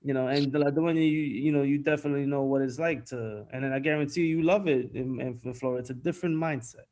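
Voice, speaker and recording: A man's voice: mid-range voice, steady tone, quick pace, a little mellow. A man with a New York accent, a guest on a casual panel, telling stories animatedly, stumbling and repeating words, on a video call from home. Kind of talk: casual chat.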